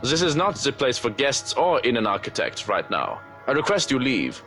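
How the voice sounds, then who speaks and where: An irritable German head cook in a video game. His pitch leaps about as he scolds in clipped, formal phrases with a German accent. He sounds bossy and suspicious, with music underneath. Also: sing-song, normal speed, pushed and bright. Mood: irritated, barking. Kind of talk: video game character acting.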